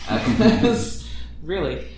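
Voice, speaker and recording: A man chatting casually on a podcast about writing his show, animated and jokey, often laughing mid-sentence. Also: sits high, very slow. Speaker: podcast writer and producer. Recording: very echoey room with noise.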